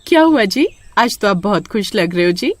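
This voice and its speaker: A woman voicing an excitable, easily frightened young village wife who speaks deferentially to her husband in a horror story. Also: medium pitch, moderate pace, no room sound, natural voice. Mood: happy, excited. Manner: exclaiming, addresses husband with wifely deference. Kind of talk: dramatised Hindi horror story.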